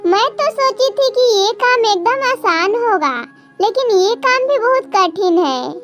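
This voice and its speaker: Woman putting on a tiny girly bird lady for a kids cartoon, super high childlike voice, music under it. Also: animated, unhurried, fairly bright tone, heavily acted. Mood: bossy, scheming. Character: small female cartoon birds, wives and mothers. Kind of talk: children's animated moral story dialogue.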